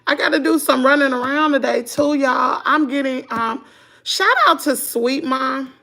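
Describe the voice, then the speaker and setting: A middle-aged woman with a Southern US accent, chatting hoarsely to her viewers at home on a phone livestream. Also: high voice, unhurried, bright, forceful tone. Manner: chatty, laughing, drawling, talks to "y'all". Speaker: vlogger on a morning livestream. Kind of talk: casual chat with viewers. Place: at home indoors.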